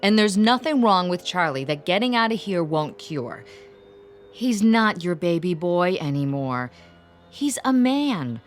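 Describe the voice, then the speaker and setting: An actress playing a bossy, practical grown daughter in a radio drama, firm, emphatic and no-nonsense. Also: female voice, lowish voice, big pitch swings, measured pace, soft background music. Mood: stern, insistent.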